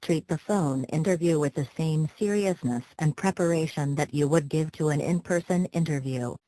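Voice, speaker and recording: A woman with a low-pitched voice reads scripted advice. Her delivery is instructional yet conversational, with a playful, wry edge. The recording is dry, with very little room sound. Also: moderate pace, warm tone, no echo, low-quality recording. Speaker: narrator of a career advice video. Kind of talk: scripted tips narration, light conversational wording.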